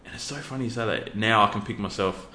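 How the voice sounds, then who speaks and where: A man from Western Australia with an Australian accent, talking as an interview guest in a relaxed, laid-back way with plenty of fillers. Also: lowish voice, fast. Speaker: podcast guest, youth worker. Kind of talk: casual interview talk. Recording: echoey room.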